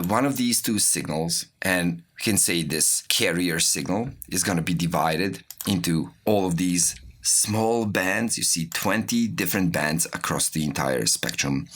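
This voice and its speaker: Low-pitched man teaching, very animated intonation. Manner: didactic, step-by-step explaining. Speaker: music production instructor. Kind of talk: software tutorial, explaining while demonstrating.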